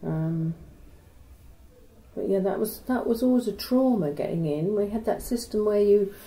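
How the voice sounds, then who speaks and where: A woman's voice: medium pitch, moderate pace, mellow, low-quality recording. An older woman with a Norwich accent, a retired university secretary recalling her working years in a chatty, reminiscing way. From Norwich, England. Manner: chatty, reminiscing, trailing off into asides. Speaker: interviewee, former university secretary. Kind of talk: oral history interview.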